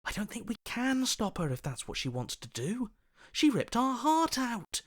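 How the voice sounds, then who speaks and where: Uneasy hero's inner voice, swooping pitch. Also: male voice, wildly swooping pitch, normal speed, exaggerated voice. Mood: anxious, pleading. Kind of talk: video game voice acting.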